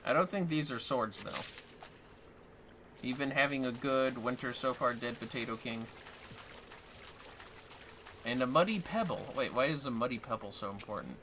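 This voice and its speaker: A man's voice: mid-range voice, moderate pace, long pauses, a bit bright, slight background noise. A man chatting casually on a gaming livestream, joking and swearing as he plays. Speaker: game streamer. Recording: music behind the voice.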